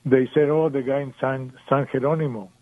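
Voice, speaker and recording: A man's voice: medium pitch, steady tone, brisk, dry recording. A man from Mexico with a Mexican accent, calling in to a radio show and talking hesitantly with many fillers. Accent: Mexican Spanish. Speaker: caller. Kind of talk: phone call to radio show. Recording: tinny phone line.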